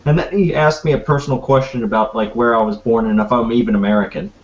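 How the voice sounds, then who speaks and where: A young man co-hosting a casual podcast. His voice sits at an ordinary middle pitch. He delivers dry, deadpan remarks in loose, easygoing banter. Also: fast talker, dull audio, a little hiss or hum. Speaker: podcast co-host.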